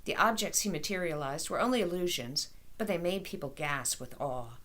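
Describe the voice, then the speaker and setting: A woman author reading a children's story aloud in an expressive storytelling style. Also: on the low side, normal speed.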